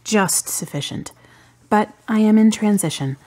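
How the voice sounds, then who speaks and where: A woman acting the part of a guarded, wry daughter in grief in a radio drama, her delivery measured and dry, her voice husky and breathy. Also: mid-range voice, a little mellow, natural voice. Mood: reflective, wry.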